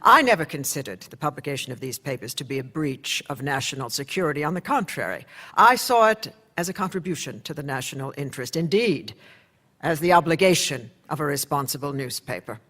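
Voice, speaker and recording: An actor playing a male newspaper editor, narrating in a measured, reflective way. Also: a little high, expressive, pushed and bright, exaggerated voice. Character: male newspaper editor looking back on the case. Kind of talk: radio play narration.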